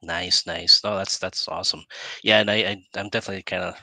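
A man's voice: deep voice, steady tone, fast, sharp tone, dry recording. A middle-aged man chatting casually as an interview host in a rambling, self-interrupting way. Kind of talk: casual chat. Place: indoor room, video call. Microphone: headset.

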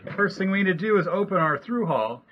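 A man's voice: high-pitched, quick pace, recorded from a distance, lo-fi sound, a little hiss or hum. Man explaining a boat repair. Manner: calm step-by-step explaining while working. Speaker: boat owner showing a repair. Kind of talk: hands-on how-to walkthrough. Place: cramped cabin of a sailboat. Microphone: action camera nearby.

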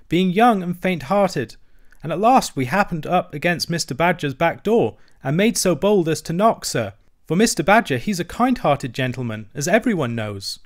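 A man's voice: on the high side, voice pushed up. A man voicing a young hedgehog in a storybook, respectful and earnest, with humble rustic speech. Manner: deferential, rustic ungrammatical speech.

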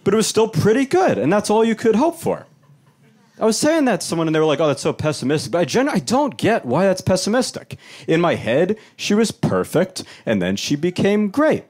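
Wry young man doing stand-up. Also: fairly quick. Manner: wry, animated, jumps into high-pitched voices for quoted people. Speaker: stand-up comedian. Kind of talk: stand-up comedy set. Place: comedy club stage. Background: audience laughter.

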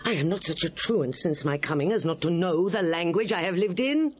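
Actress as proud wronged queen, shakespeare radio play, big swoops in pitch, measured, bit muffled. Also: expressive, a little hiss or hum, lightly acted. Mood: proud, defiant. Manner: formal, measured, emphatic. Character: proud, wary wronged queen, grave and pleading. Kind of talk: Shakespearean radio drama acting.

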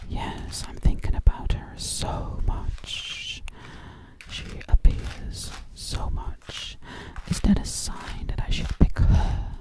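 A woman voicing a sinister, obsessive witch in a close, breathy ASMR roleplay, repeating words hypnotically. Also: slow, close to an everyday voice. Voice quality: breathy whisper. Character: sinister, obsessive female witch hunting for victims. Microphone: close microphone.

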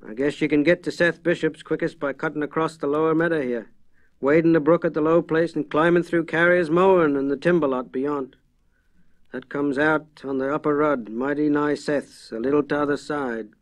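A man's voice: a little high, fairly even intonation, normal speed, dry recording, pitched above the performer's own voice, lightly acted. A man voicing a plain-spoken rural local with a New England accent in an audiobook, folksy and matter-of-fact. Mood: helpful.

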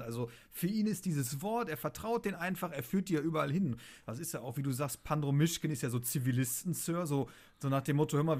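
A man's voice: fairly high pitch, a little mellow. A man chatting casually on a podcast, retelling things in colloquial language. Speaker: podcast host. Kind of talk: casual chat.

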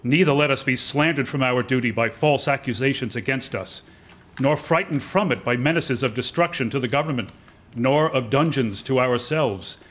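A man's voice: mid-range voice, moderate pace, a bit bright, lightly acted. An older man playing a principled, resolute statesman delivering a political oration in a staged radio-play reading. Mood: earnest, resolute. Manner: rhetorical, oratorical. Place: indoor hall with seated audience. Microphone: lectern microphone.

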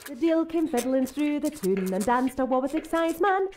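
A woman's voice: high-pitched, animated, normal speed, darkish tone, a little hiss or hum. A woman performing as a spirited folk singer with a Scottish accent, singing a traditional song in a radio drama. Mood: jaunty.

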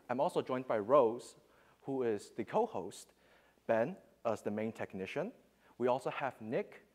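A man's voice: mid-range voice, a little mellow. A young man welcoming an audience as the host of an event, speaking in a lecture room. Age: young adult. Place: lecture room, standing at the front.

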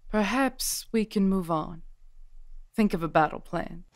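A woman playing a calm, perceptive witch in an audio drama, speaking in a measured, earnest way. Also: mid-range voice, no room sound, studio quality, lightly acted. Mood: solemn, assured. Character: calm, perceptive female witch ally granting blessings and charms. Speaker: voice actor.

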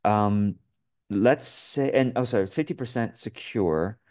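A deep-voiced middle-aged man from Taiwan hosts a video call interview. His questions come out halting, full of restarts and fillers, with an easy laugh here and there. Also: darkish tone. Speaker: host, business coach. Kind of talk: conversational interview. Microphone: webcam on a video call.

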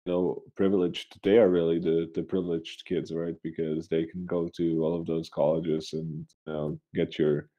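Very low, mellow man chatting haltingly. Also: little pitch movement, lo-fi sound. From Switzerland. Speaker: podcast co-host. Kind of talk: casual, unscripted political chat.

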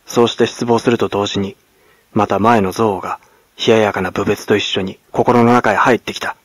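A man from Kagoshima, Japan, narrating classic literature as a dramatic audiobook in a grave, measured manner. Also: on the low side, steady tone, husky, no room sound. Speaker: actor, narrator. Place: at home, close and dry.

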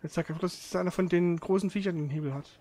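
A man's voice: high voice, fairly even intonation, quick pace, warm tone. A man commenting casually on a video game as he plays, grumbling and swearing in frustration.

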